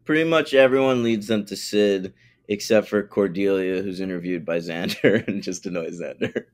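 A man's voice: low-pitched, moderate pace. A man co-hosting a podcast, bantering informally and conversationally about TV episodes. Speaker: podcast co-host.

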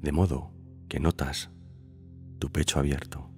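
A man leading a scripted guided meditation in calm, unhurried phrases with long pauses. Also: rumbling low. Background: background music.

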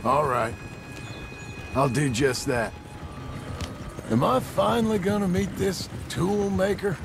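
An actor playing a gruff, wary Old West rancher in a video game, with a terse, sceptical American drawl. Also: male voice, high voice, slow, background noise, exaggerated voice. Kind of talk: cinematic video game dialogue.

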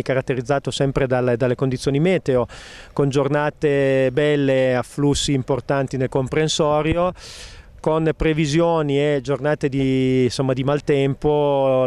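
A middle-aged man talks into a handheld microphone on a snowy mountain slope. His voice is smooth and resonant, pitched in an ordinary middle range. He speaks in a measured, careful way, often stopping to search for words. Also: darkish tone. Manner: measured, hesitant, many filler pauses. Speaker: ski resort company president. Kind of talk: outdoor TV interview. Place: outdoors on snowy ski slope. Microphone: handheld mic held by interviewer.

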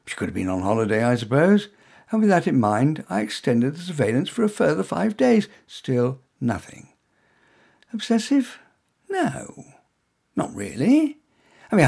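A man's voice: medium pitch, vocal fry, a little mellow, breathy edge. A man reading his own first-person short story in a wry, self-mocking way.

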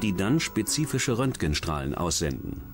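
Low-voiced man narrating true crime. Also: very animated, normal speed. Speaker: documentary narrator. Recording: music bed under the voice.

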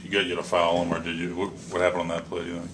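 Deep, flat-voiced reporter. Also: male voice, fast talker. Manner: direct questioning. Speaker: sports reporter. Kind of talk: press conference questions. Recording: noisy room.

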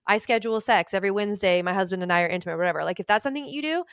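A young woman co-hosting a podcast over a remote call, giving relaxed advice in a chatty way full of fillers and "like". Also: mid-range voice, racing, clear, resonant tone, no room sound, clean studio sound. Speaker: podcast co-host, intimacy coach. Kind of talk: casual advice chat. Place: small room at home. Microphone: studio mic on stand, headphones, remote call.

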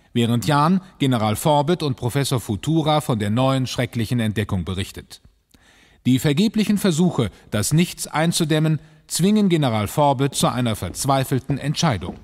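A man's voice: mid-range voice, expressive, moderate pace, in a large hall. A man narrating a children's audio drama in a dramatic, scripted style.

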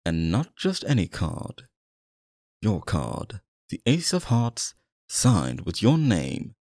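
A man playing a sinister, possessive, courtly stage magician in an ASMR roleplay, coaxing the listener with theatrical, drawn-out phrasing. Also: lowish voice, wildly swooping pitch, darkish tone, no room sound, studio quality, full character voice. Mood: suave, showy.

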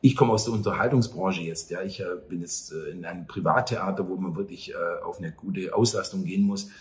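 Lowish-voiced man answering in an echoey room. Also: brisk. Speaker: actor and theatre director being interviewed. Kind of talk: conversational interview answers. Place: small cafe or bar table at night.

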